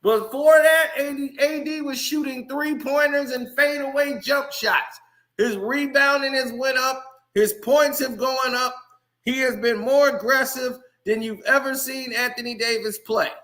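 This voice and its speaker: A man's voice: very high-pitched, fairly even intonation, unhurried, bright, forceful tone. A man hosting a casual sports commentary channel, ranting heatedly and talking straight to his viewers.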